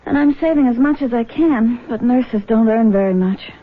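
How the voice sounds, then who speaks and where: A woman's voice: on the high side, normal speed, phone-quality sound, natural voice. A woman in a 1970s radio drama playing a caring, firm and independent young daughter, earnest and direct. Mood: firm, fond. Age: young adult. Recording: band-limited vintage radio broadcast.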